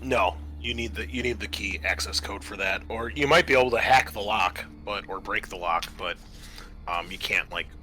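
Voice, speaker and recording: A man running a tabletop game as game master, describing scenes in a halting, improvised way with many pauses. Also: lowish voice, sharp tone.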